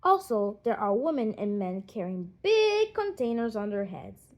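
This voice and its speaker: A woman narrating a children's audiobook in an expressive storytelling manner. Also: medium pitch, measured pace.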